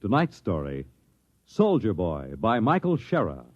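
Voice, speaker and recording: A man's voice: fairly low pitch, wildly swooping pitch, a little slow. A man announcing in a scripted 1950s radio style, dramatic and portentous. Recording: muffled vintage radio, loud music behind.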